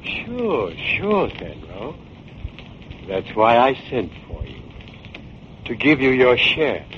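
A man's voice: medium pitch, big pitch swings, slow. A man playing a sly, cringing, murderous crook in a 1940s radio drama. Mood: fawning, nervous. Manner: wheedling, obsequious, then gloating. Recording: muffled, noisy 1940s radio recording.

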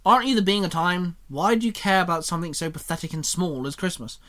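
A man's voice: fairly high pitch, a little fast, fairly bright tone, lightly acted. A man voicing a grumpy, sarcastic male tinkerer who grumbles through his teeth. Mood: grumbling.

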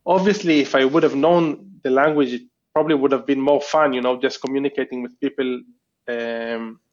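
A man's voice: mid-range voice, muffled recording. A man from Israel, a guest entrepreneur, chatting casually and thoughtfully with many restarts in an interview.

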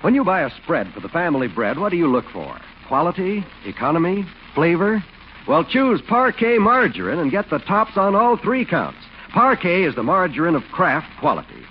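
Male announcer, very animated, persuasive 1940s commercial style, slightly hissy old recording. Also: high-pitched, highs cut off. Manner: polished, persuasive.

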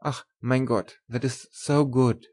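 A woman's voice: extremely low pitch, steady tone, takes it slowly, mellow, voice pushed down, full character voice. A woman voicing a tender, earnest older German professor in love, with formal, emphatic speech in an audiobook. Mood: joyful, earnest. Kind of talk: audiobook character voice.

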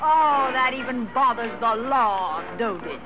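An actor playing a proud, stubborn aging loyalist in an old radio western. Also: male voice, very high voice, expressive, muffled recording. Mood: proud defiance. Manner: slow, stubborn, defiant. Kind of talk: 1950s American radio western acting. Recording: vintage radio drama, faint music behind.

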